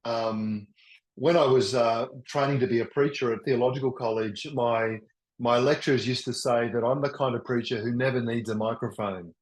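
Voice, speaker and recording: Loud, enthusiastic older man teaching. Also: mid-range voice, steady tone. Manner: halting, with many restarts. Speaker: workshop presenter. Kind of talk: teaching a webinar. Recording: roomy echo.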